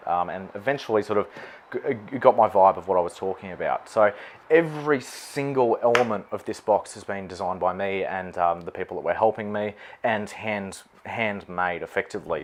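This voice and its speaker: Australian male presenter, fairly low register, unscripted, chatty product walkthrough. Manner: informal, conversational, mildly sweary. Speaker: wedding celebrant presenting his gear. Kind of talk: unscripted product explainer to camera.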